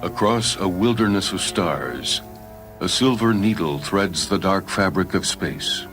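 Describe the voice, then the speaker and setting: A man's voice: sits low, expressive, a little slow. A man reading dramatic, scripted science fiction narration as a storyteller. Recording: music behind the voice.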